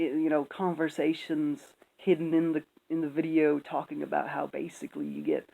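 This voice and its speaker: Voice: mid-range voice, gravelly, warm tone, rough recording. A speaker giving casual, opinionated commentary in a rambling, emphatic, sweary way. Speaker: video commentator.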